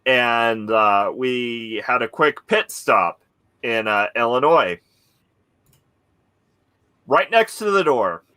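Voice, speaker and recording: Slow, bright-voiced chatty man. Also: medium pitch, lively intonation, clean tone, no echo. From Pacific Northwest. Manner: halting storyteller, many fillers. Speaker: podcast cast member. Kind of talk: casual chat.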